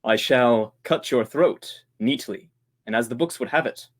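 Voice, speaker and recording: A man's voice: mid-range voice, very animated, measured pace, creaky, full character voice. A man playing a politely threatening older killer in an old-time radio drama, speaking formally and precisely. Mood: coolly menacing. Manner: formal, precise, quietly menacing.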